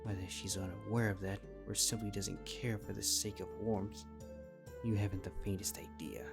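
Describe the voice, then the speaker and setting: A man narrates a scripted piece of fan fiction. His voice sits very low, and music plays clearly behind him. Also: fairly even intonation, moderate pace.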